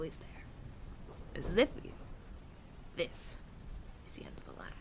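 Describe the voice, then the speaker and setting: A man playing a weary older android bounty hunter with reluctant mercy in an intimate audio roleplay, breathy and often near-whispered, deliberate and wry. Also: sits high, fairly bright tone, exaggerated voice. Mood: cold, wry.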